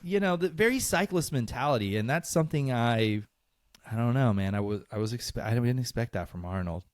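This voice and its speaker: A man's voice: mid-range voice, expressive, fairly quick, no echo. A young man co-hosting a podcast, chatting loosely with lots of fillers and laughs. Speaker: podcast co-host. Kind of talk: casual chat.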